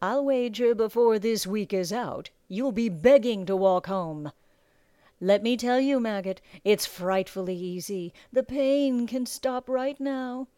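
A woman's voice: fairly high pitch, takes it slowly, few pauses, darkish tone, clear, resonant tone, no room sound, clean studio sound, voice pushed up. A woman voicing a scornful, commanding male drill instructor in an audiobook, barking and taunting. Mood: quiet, taunting menace. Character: bellowing small thirty-year-old male drill instructor, scornful and commanding. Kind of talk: audiobook character acting.

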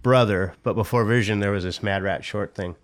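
Laid-back man with a smooth low voice. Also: brisk. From Southern California. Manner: rambling, laid-back, swears, many fillers and laughs. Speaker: podcast guest, former pro skater. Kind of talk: casual interview chat.

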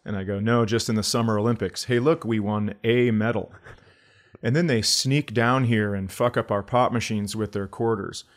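A man from the northern United States, a comedian co-hosting a podcast with casual, deadpan banter. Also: lowish voice, normal speed. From northern United States, near Canada.

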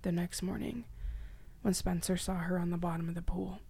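Guarded, defiant young captive woman played by a woman, creaky. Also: on the low side, level tone, normal speed, warm tone. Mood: evasive. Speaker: voice actor in a dramatic reading. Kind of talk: dramatic reading of a dark podcast story.

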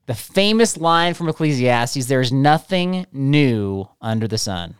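A man's voice: medium pitch, expressive, slow, clean tone, no room sound, clean studio sound. A man hosting a casual podcast, teasing his co-host and laughing often.

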